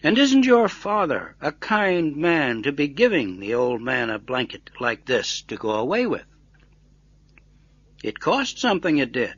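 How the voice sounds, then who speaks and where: Man reading as kindly old grandfather, animated pitch. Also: measured pace, slightly rough, muffled recording, heavily acted. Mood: reassuring. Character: kindly old grandfather, stoic and self-effacing.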